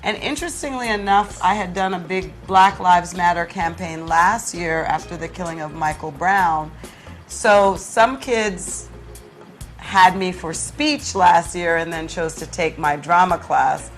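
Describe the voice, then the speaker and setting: A woman's voice: on the low side, a little slow, soft background music. A middle-aged woman, a teacher, explaining things conversationally as a guest in an interview. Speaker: high school drama teacher, interview guest.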